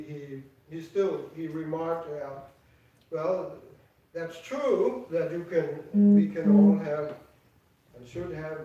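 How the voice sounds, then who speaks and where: An older man speaks slowly and haltingly, often restarting mid-phrase with repeated words. His voice sits a little high for a man. He sounds far from the mic in a very echoey temple hall. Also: warm tone, muffled recording, slight background noise. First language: Danish. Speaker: devotee giving an offering speech. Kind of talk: personal tribute speech.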